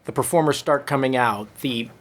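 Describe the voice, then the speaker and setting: A man reading a comic story aloud at a live show, with deadpan asides. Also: medium pitch, normal speed. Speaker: comedy troupe storyteller reading own story. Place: live show before a laughing audience.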